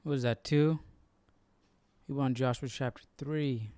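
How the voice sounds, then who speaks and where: A man's voice: mid-range voice, steady tone, a little slow, mellow, dry recording. A man hosting a Bible study with casual commentary, musing aloud to himself.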